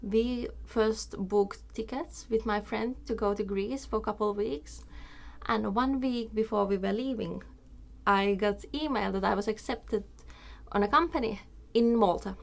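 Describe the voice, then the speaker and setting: Creaky-voiced Finnish woman vlogger. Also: mid-range voice, a little slow. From Finland. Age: 20s. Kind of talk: casual personal storytelling to camera. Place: small room indoors.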